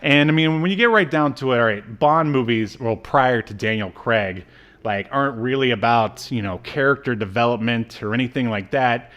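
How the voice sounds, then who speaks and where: A man co-hosting a podcast in casual review chat, with a halting, rambling delivery. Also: medium pitch, fairly bright tone, highs cut off. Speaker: podcast co-host.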